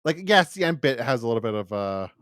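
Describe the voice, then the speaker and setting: A man co-hosts a casual review podcast in an animated, medium-pitched voice. His intonation moves around a lot as he rambles and slips into imagined quotes. The sound is dry, with no room echo. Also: quick pace, smooth. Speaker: podcast co-host.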